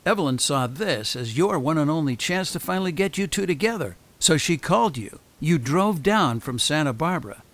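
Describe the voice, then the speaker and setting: An actor playing a self-assured, probing lawyer who confronts suspects in a radio drama. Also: male voice, on the high side, lively intonation, fairly bright tone. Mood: accusing, firm. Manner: deliberate, pressing, self-assured.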